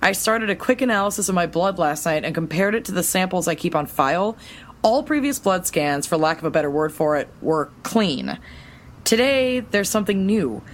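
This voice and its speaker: A woman playing an eager, excitable female superhero hacker and scientist in an audio drama, with an expressive delivery. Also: on the low side, normal speed, lightly acted. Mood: intent, analytical.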